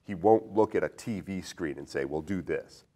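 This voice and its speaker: A man with a fairly low voice talks casually as a podcast host discussing film. He comes across as inquisitive, in an easy conversational style. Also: moderate pace, warm tone. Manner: inquisitive, posing questions to his co-host.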